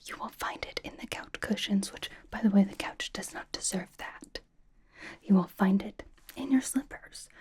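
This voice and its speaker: A woman playing a sassy, vain young K-pop star doting on a fan in an ASMR roleplay, in a breathy whisper with teasing, deadpan delivery. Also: medium pitch.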